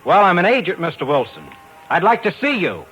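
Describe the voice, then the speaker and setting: An actor playing a brash, hard-boiled talent agent and narrator in a 1950s radio drama. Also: male voice, sits high, sing-song, a little fast, fairly bright tone, muffled recording, soft background music. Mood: persistent. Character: brash, persistent male New York talent agent.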